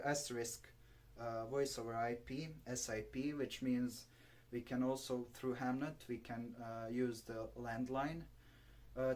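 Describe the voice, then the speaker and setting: A man from Bosnia and Herzegovina with a Bosnian accent, giving a technical slide presentation in a halting, hesitant way full of fillers. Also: mid-range voice, a little mellow. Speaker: amateur radio presenter at an online conference.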